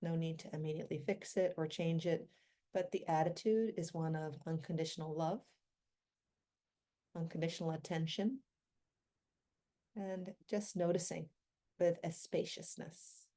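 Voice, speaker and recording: A woman leading a guided meditation in a gentle, unhurried manner, with long pauses between short phrases. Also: sits low, fairly even intonation.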